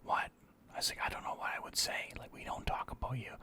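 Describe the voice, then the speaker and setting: Whispering man, bright and sharp. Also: quick pace. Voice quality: whispery, breathy, unvoiced. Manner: animated retelling with quoted dialogue, swearing freely. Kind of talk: casual personal storytelling.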